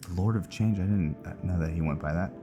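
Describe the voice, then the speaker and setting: A man's voice: low-pitched, quick pace. A man giving casual, laid-back commentary while playing and roleplaying in a video game. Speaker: gamer, streamer. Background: loud game music.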